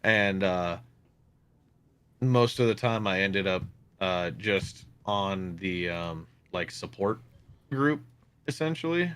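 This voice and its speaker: Deep-voiced young man, rambling and casual. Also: animated, slow, pauses often, fairly bright tone, clean tone. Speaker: player. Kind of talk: casual chat at a tabletop game.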